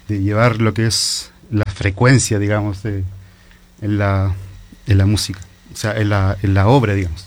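A man from Chile with a Chilean accent, a theatre company musician answering haltingly in a radio interview. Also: lowish voice, darkish tone. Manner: halting, repeats words as he searches.

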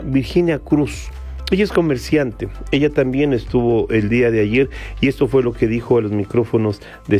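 Mexican male radio newsman, warm low-key tone, faint music underneath, formal address to listeners. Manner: addresses listeners as friends, formal broadcaster phrasing. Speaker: radio news host. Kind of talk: radio news report.

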